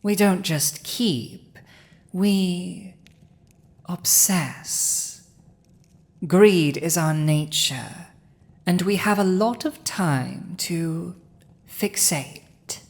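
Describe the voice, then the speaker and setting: A woman acts out a villainous dragon who has seized a throne. The voice is lowish for a woman and extremely unhurried, lingering over pauses. It is imperious, possessive and teasing, in the manner of an intimate fantasy roleplay audio. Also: a little mellow. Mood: possessive, proud. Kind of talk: dramatic roleplay audio addressed to the listener.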